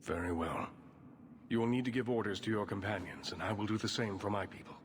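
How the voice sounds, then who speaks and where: An actor playing a formal, grave male mage leader in a video game, speaking in a measured way. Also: fairly low pitch, in a large hall, a little hiss or hum, natural voice. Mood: grave, resolute. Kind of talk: video game cutscene acting.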